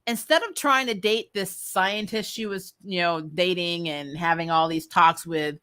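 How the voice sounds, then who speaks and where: Give me a woman with a bright, forceful voice doing blunt, exasperated fan commentary. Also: on the low side, unhurried, clean tone. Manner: exasperated, blunt and animated, laughing as talk goes. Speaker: video host giving TV show commentary. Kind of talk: casual opinionated fan chat.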